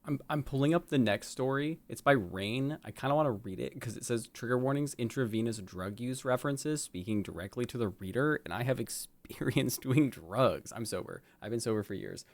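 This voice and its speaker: Stream co-host, medium-low register, casual and jokey, wandering delivery. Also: animated, brisk, hardly any pauses. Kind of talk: casual banter.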